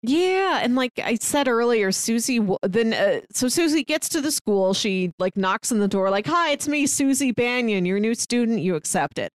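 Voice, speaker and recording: A woman's voice: a little high, lively intonation, moderate pace, hardly any pauses, no room sound. A woman co-hosting a movie podcast, giving a casual, wry conversational recap. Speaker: podcast co-host reviewing films.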